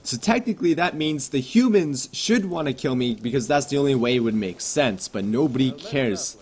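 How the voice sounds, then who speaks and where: A man who makes gaming videos on YouTube, doing casual gameplay commentary and channel talk in an excitable, joking way, with lots of swearing. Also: medium pitch, normal speed.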